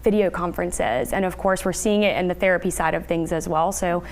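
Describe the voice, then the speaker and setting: A woman's voice: lowish voice, fairly even intonation, a little fast. A woman speaking as a therapist guest, giving explanatory answers in a news interview. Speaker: therapist guest, licensed counselor.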